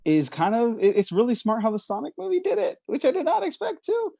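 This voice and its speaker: A man's voice: extremely high pitch, wildly swooping pitch, brisk, vocal fry, mellow, husky, no echo, studio quality. Chatty, rambling male podcast host. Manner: chatty, rambling, enthusiastic, chuckles while talking. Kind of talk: casual movie podcast chat.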